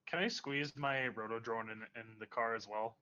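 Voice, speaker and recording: A man with a faint Canadian accent chats as a player in a tabletop game, quick to argue back. His voice is bright and forceful. The recording sounds dull and lo-fi, like a cheap voice-chat mic. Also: medium pitch. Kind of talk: casual chat while playing a tabletop game.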